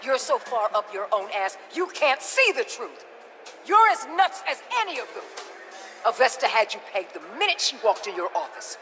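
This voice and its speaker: A woman's voice: on the high side, big pitch swings, moderate pace, sharp tone, soft background music. A woman playing a hard-nosed government agency chief in a video game, blunt, authoritative and combative. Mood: angry, scornful. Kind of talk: dramatic video game acting.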